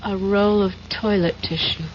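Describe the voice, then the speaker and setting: An actress playing a poised, wry and secretive American double agent in a 1970s radio drama. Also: female voice, medium pitch, fairly even intonation, moderate pace, slight background noise, lightly acted. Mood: businesslike, exasperated. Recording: muffled old radio broadcast, music behind.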